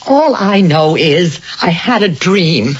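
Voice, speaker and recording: An actress playing an anxious, defensive housewife in a radio drama, pleading earnestly with hesitant starts. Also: female voice, lowish voice, expressive, slow pace, husky, exaggerated voice. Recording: dull, hissy old radio recording.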